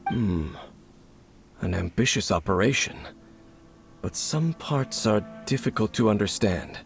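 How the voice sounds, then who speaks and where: An actor playing a grave male scholar in a video game, speaking in a calm, measured, explanatory way. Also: on the low side, creaky. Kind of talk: game voice acting. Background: game music.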